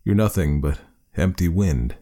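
A man's voice: low voice, measured pace, warm tone. A man voicing a lonely stranded space explorer, nervous and arguing with himself. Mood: anxious.

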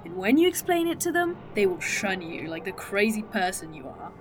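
A woman's voice: mid-range voice, sing-song, music in the background, close to an everyday voice. An actress playing a snarky, teasing woman time traveler with a fake arm in an indie audio drama. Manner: dry, teasing, quick-witted.

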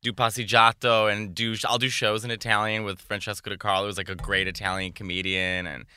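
Very bright-voiced man comedian. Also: on the low side, fairly quick, clean tone, dry recording. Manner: animated, self-deprecating storyteller. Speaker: comedian guest. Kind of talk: casual interview chat.

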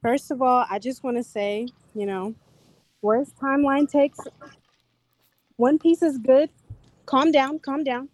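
A woman from Houston with a naturally soft, quiet voice. She sits high in her register and delivers blunt takes with calm self-assurance, never raising her voice. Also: slow, long pauses, dry recording. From Houston, Texas. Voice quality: soft-spoken. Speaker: guest caller. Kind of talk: casual audio chat room banter.

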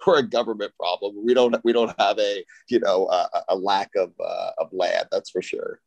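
A man's voice: fairly low pitch, fairly even intonation, normal speed, vocal fry, a little mellow, no echo. A man from Texas speaking as a podcast interview guest, in a conversational way with many hesitant asides. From Austin, Texas. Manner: hesitant phrasing, frequent "you know" asides. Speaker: interview guest, real estate company founder.

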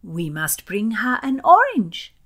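Woman acting young Swedish noblewoman, high girlish pitch, naive, gushing, breathy, drawn-out, period audiobook. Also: fairly bright tone. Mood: eager.

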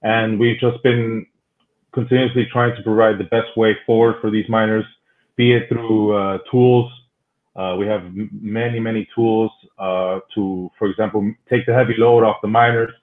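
A man's voice: lowish voice, little pitch movement, normal speed. A man whose first language is Spanish, talking in an easygoing way as a guest in a casual podcast interview. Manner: talkative, easygoing. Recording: tinny phone-like line.

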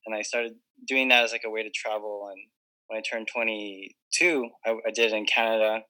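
Guest, 20s man from Hawaii, sharp, low voice, earnest on a video call. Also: fairly even intonation, a little fast, rough recording. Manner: earnest and reflective, with many fillers. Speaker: podcast guest. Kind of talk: casual interview chat.

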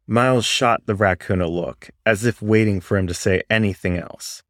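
A man narrating a first-person story in a measured, expressive, dramatic way. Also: lowish voice, no echo, clean studio sound. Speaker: audiobook-style story reader.

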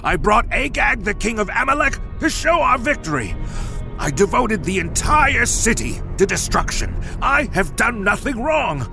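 A man's voice: high-pitched, very animated, normal speed, creaky, over music, voice pushed up, exaggerated voice. A man voicing a proud, blustering, insecure ancient king who swings between pride and pleading. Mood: angry. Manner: loud and wavering, shifting between pride and pleading. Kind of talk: storybook drama reading.

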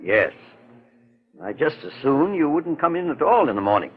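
An actor playing a kindly, shrewd older investigator in a 1940s radio detective drama, courteous and dryly questioning. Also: male voice, a little high, sing-song, moderate pace, husky. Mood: kindly, concerned. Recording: dull, muffled 1940s radio recording.

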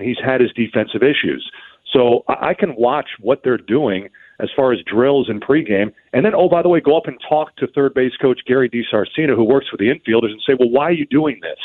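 A man giving earnest, detailed interview answers as a baseball broadcaster, often stammering into restarts. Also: mid-range voice. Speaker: baseball radio play-by-play broadcaster, guest. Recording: thin phone-like line.

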